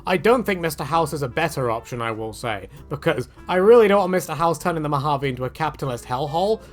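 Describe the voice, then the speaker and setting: Fast, chatty man over music. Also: a little high, lively intonation. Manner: chatty, tangent-prone, self-correcting. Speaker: gaming video creator. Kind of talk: casual rambling video commentary.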